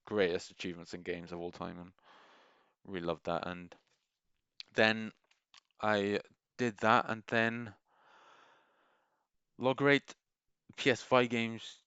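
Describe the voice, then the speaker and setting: A man with a very low, smooth and resonant voice talks through a loose, rambling monologue with plenty of enthusiasm and frequent restarts. The sound is dry and a little dull, like a lo-fi recording. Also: steady tone, slow, long pauses, bright, forceful tone, no room sound. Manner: meandering, enthusiastic, many restarts. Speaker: gaming video creator.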